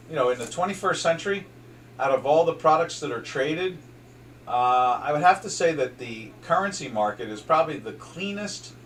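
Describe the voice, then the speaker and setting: A man's voice: mid-range voice, fairly bright tone, distant mic. An older man with a South Jersey accent hosts a casual trading chat, wandering between charts and asides with plenty of fillers and false starts. He sits a fair way from the microphone. A faint layer of background noise runs under his voice. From southern New Jersey, USA. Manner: rambling, chatty, many fillers and restarts. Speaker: trading show host. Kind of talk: casual market commentary over charts.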